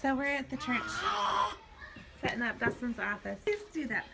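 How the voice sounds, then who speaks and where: A woman's voice: fairly high pitch, moderate pace, gravelly. A woman chatting casually for a family vlog, talking to children in short, firm instructive phrases. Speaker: family vlogger, mother. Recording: noisy handheld vlog with car and street sound.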